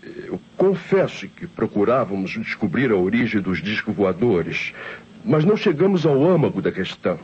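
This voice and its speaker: A man's voice: on the low side, very animated, moderate pace, darkish tone. A Brazilian man acting a professor's nervous, evasive assistant in an old radio drama, defensive and hesitant. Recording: dull old radio recording, faint hiss.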